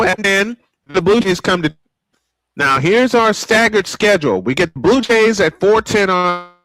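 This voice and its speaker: A man's voice: sits high, a bit bright, no room sound, rough recording. An American man doing live old-school baseball play-by-play commentary, mixing calls with casual asides. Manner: old-school broadcast delivery. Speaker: play-by-play sportscaster. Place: small home-style studio.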